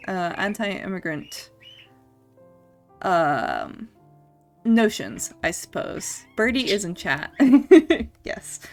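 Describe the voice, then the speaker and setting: A young woman with a mixed, mostly Canadian-sounding accent, talking slowly and loosely while she draws on stream. She rambles with frequent ums and small restarts and often laughs. Faint music plays behind her voice. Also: a little high, a bit bright. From Scotland. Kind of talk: casual chat while drawing on stream.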